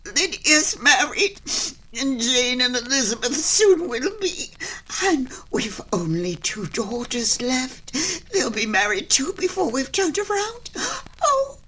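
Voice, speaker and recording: A woman's voice: high voice, big pitch swings, slow, pushed and bright, breathy edge, heavily acted, low-quality recording. A woman playing a weepy, gushing middle-aged mother in 1940s-style radio comedy. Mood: tearful, sentimental. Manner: gushing, tearful, dramatic.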